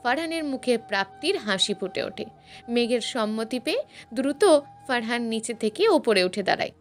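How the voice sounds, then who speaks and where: Female storyteller, highish voice, emotive dramatic fiction narration, light music underneath. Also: sing-song, normal speed. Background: background music.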